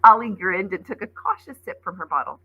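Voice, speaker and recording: A woman narrates a children's story in a bright, forward voice with a noticeably creaky, husky grain. She reads expressively, in the manner of a teacher sharing a novel aloud. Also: mid-range voice, sing-song, brisk, rough recording.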